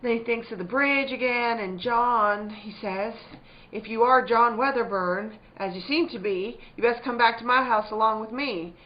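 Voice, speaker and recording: A woman's voice: fairly high pitch, normal speed, lightly acted. Woman playing a careworn, anxious country wife. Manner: plain country dialect, fretful and earnest. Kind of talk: theatrical radio-play acting. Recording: dull, noisy lo-fi recording.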